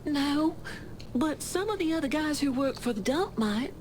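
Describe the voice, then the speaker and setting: Game role: reluctant woman clerk informant, anxious, high register, noisy backdrop. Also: animated, a little slow, a little mellow. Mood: nervous, confiding. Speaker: informant character. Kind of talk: video game cutscene acting. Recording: clear background noise.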